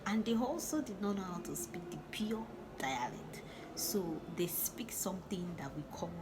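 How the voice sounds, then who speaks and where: A young woman with a Nigerian accent chats through a personal story, her voice sitting low. She laughs often and restarts her sentences as she goes. A loud noise runs steadily behind her. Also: animated, normal speed. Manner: chatty, laughing, with many restarts and asides. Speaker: vlogger. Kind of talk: casual storytelling to camera.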